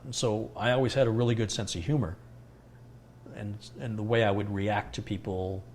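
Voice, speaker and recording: A middle-aged man talks in a calm, unhurried way, thinking aloud as he answers viewers on a live stream. His voice sits on the low side. A faint hum of room noise runs underneath. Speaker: tech livestream host answering viewer questions. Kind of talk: casual live Q&A chat.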